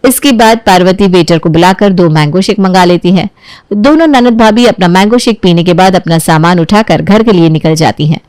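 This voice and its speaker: A woman's voice: lowish voice, normal speed, darkish tone, no room sound. A woman reading scripted storybook narration as the storyteller.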